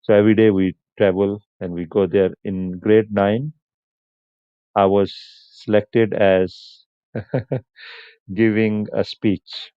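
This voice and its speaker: A man hosts a live stream, speaking in an unhurried, chatty way and laughing now and then. His low voice is smooth and clear. The sound is dry and a little muffled. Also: fairly even intonation, no echo. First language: Punjabi. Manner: unhurried, chatty, answers comments, laughs often. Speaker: livestream host. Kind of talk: casual live stream chat with viewers.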